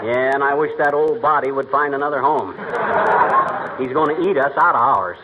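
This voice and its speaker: An actor playing a blustering, wisecracking middle-aged husband with a folksy American delivery in a 1940s radio comedy. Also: male voice, mid-range voice, moderate pace, close to an everyday voice. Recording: noisy, muffled old radio recording.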